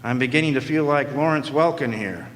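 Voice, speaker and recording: A man's voice: medium pitch, a little fast, fairly bright tone, natural voice. Man acting a sardonic hard-boiled detective, echoey hall. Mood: wry. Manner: sardonic, slangy wisecracks. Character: wisecracking, world-weary hard-boiled male private detective. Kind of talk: 1940s radio noir acting, first-person narration. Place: live stage performance in a hall. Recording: echoey hall, background noise.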